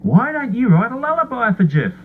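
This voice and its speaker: A man's voice: extremely high pitch, big pitch swings, brisk, pitched above the performer's own voice. A man voicing a friendly, hearty pirate captain in a children's storybook. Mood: hearty, kindly. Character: friendly male pirate captain fond of sea shanties. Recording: tinny phone-like sound, faint hum.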